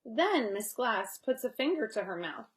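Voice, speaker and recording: A woman reading a picture book aloud to young children in an expressive way, pausing to explain and chat. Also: a little high. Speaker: read-aloud storyteller.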